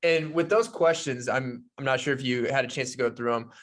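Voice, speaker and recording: Male podcast co-host, medium pitch, informal and accommodating, casual conversational delivery. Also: fairly quick, fairly bright tone. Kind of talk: casual pre-interview chat.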